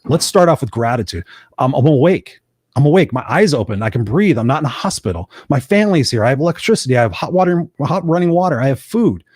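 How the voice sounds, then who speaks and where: A man hosting a live motivational show, telling personal stories in an earnest, energetic way. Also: mid-range voice, quick pace, slightly rough, no room sound, clean studio sound. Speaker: podcast host.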